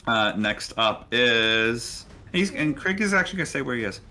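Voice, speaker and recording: A man's voice: medium pitch, big pitch swings, moderate pace, bright, forceful tone, faint music behind. A man narrating a tabletop role-playing game as game master.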